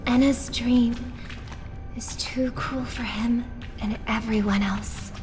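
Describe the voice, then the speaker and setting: An actress voicing a gentle, wistful young woman in a video game, with a soft, breathy and plaintive delivery. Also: a little high, steady tone, slow, music in the background. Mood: wistful, sorrowful. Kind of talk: scripted game dialogue.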